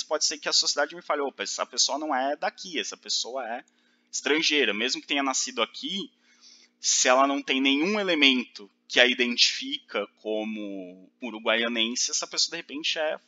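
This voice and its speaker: Guy teaching a class, really bright voice, walks through it slow with lots of um and ok. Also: mid-range voice, expressive, dull audio. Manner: explains step by step, many fillers and restarts, checks with "ok?". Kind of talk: recorded lecture.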